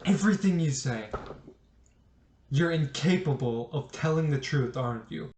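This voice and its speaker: Guy acting bitter accusing man confronting family in a stage drama, pointed, halting delivery, echoey room. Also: fairly high pitch, lively intonation, darkish tone, slight background noise, natural voice. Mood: angry, accusing.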